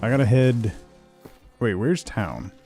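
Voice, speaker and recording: A man's voice: mid-range voice, very animated, slow, dark tone. A man chatting casually as he streams a game, thinking aloud in short fragments. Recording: music behind the voice. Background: music.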